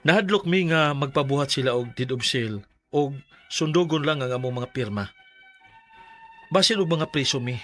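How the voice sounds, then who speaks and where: Worried earnest bisaya man, cebuano accent, higher voice, radio drama w music behind. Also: fairly bright tone. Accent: Cebuano Filipino. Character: troubled, earnest man pleading to keep his pawned land. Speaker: letter writer in a radio drama. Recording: music bed under the voice.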